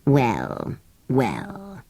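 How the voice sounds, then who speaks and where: A woman voicing a grumpy, ancient, sleepy sand fairy in a storybook reading, speaking low and drowsily. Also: big pitch swings, slow pace, gravelly, a little mellow, heavily acted. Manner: cross, grumbling, indulgent. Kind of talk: audiobook character voice.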